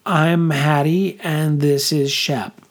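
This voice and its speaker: A man's voice: on the high side, fairly even intonation, takes it slowly, voice pushed up. A man voicing a fourteen-year-old girl who stammers nervously while trying to sound serious.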